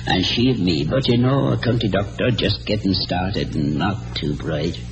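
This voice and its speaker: An actor playing a crafty, soft-hearted old Irish country doctor in a classic radio drama, blustery and wheedling. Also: male voice, deep voice, moderate pace, low-quality recording. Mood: wistful. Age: older. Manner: wheedling, theatrical, hesitating for effect. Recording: old radio broadcast with music.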